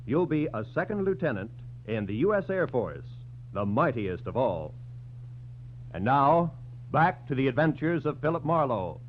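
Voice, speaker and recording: A rousing American male radio announcer with a mid-pitched, animated delivery. He reads scripted copy in declamatory 1940s style over a narrow, muffled old broadcast. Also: slight background noise. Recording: tinny, humming 1940s radio recording.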